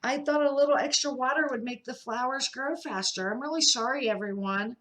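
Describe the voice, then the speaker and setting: An eager young schoolgirl from a children's storybook, read by an older woman. She is impatient and quick to feel sorry. Her delivery is earnest and simple, in a bright voice. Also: high voice, slight background noise, pitched above the performer's own voice. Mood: sorry, upset. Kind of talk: children's storybook character reading.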